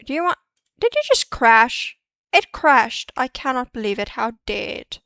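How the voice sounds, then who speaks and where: A woman's voice: a little high, very animated, a little slow, sharp tone, no echo, clean studio sound. A woman streaming a 3D modeling session, chatting and muttering to herself as she works through each step. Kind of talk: live-stream tutorial, thinking aloud.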